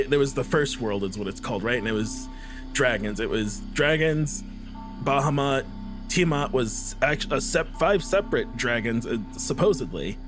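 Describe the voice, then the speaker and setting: Rambling man chatting on a podcast. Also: medium pitch. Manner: rambling, lots of fillers, laughs often. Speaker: podcast co-host. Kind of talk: casual chat about a tabletop game.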